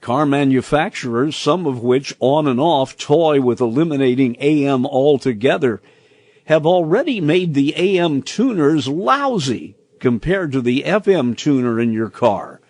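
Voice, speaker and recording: An older American man reading a scripted, nostalgic personal commentary in a deliberate, expressive storytelling manner. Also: mid-range voice, no room sound. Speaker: radio commentator.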